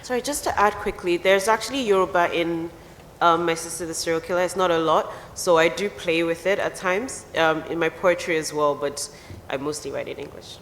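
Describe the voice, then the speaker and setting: A female author answering on a festival panel with light, quick asides. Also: on the low side, fairly bright tone. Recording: reverberant festival hall on PA, faint noise.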